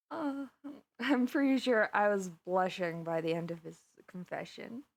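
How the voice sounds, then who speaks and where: A woman voice acting a giddy, flustered young villainess who rambles and gossips to her best friend in a conversational audio roleplay. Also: a little high. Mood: bashful, flustered. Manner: gossipy, rambling, animated storytelling with giggles.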